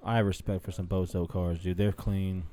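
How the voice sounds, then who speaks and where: A man's voice: sits low, level tone, moderate pace, dark tone, no room sound. A man hosting a casual podcast, joking and teasing his friends. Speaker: podcast host. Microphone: podcast studio microphone.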